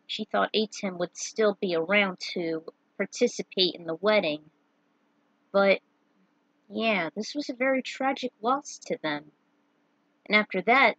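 A woman's voice: on the low side, a bit bright, no room sound, low-quality recording. A woman giving casual recaps and amateur narration as the host of a read-aloud fan fiction series.

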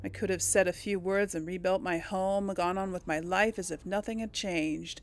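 A woman giving casual let's play commentary and reading game text aloud, with a creaky voice. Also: on the low side, fairly even intonation, moderate pace. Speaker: gaming host. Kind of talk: reads game text and dialogue choices aloud.

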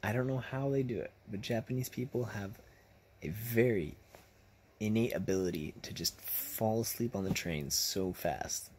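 A young man telling casual stories to camera in an animated, acted-out way, recorded on a phone in a bedroom. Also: fairly low pitch, moderate pace, a little hiss or hum. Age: young adult. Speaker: vlogger telling personal stories. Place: small bedroom, lying in bed. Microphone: phone at arm's length.

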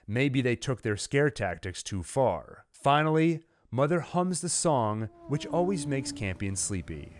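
A man hosting a video, delivering scripted recap narration and commentary in a measured, emphatic way. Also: mid-range voice, very animated. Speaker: channel host, narrator.

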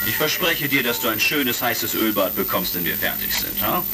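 A man's voice: lowish voice, animated, moderate pace, creaky. A man playing an easygoing heroic space commander in a retro science-fiction radio drama. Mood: chummy. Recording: crackly vintage record, music behind.

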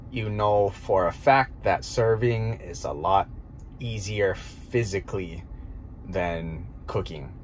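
A man in his thirties talks slowly and casually into a phone from a parked car at night, his voice low and unhurried. He thinks aloud with plenty of fillers and pauses. Faint vehicle noise hums behind him. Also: low-quality recording. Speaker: restaurant owner and chef. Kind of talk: casual monologue. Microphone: phone camera held close.

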